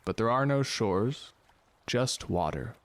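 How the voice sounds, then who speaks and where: An actor playing a gloomy, philosophical man on a ship in an audio drama, with a solemn deadpan delivery. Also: medium pitch, slow pace, no echo. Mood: somber, musing. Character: gloomy philosophical ship's man, fatalistic and slow to romance.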